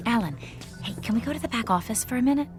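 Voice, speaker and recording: Lively, expressive young stage actress played by an actress. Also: female voice, fairly high pitch, rapid-fire, music in the background, natural voice. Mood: brisk, teasing. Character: spirited, take-charge young woman in a theater company. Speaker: actor in a radio drama. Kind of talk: dramatic radio acting.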